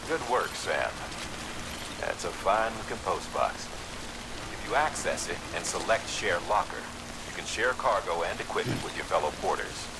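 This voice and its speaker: An actor playing a calm, polite supervisor giving composed tutorial instructions in a video game. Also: male voice, low voice, normal speed, very noisy. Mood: calm, approving. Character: calm male supervisor guiding a porter by radio.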